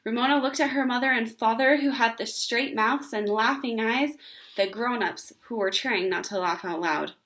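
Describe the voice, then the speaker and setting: A woman reading a children's book aloud as an expressive storyteller. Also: on the high side, normal speed.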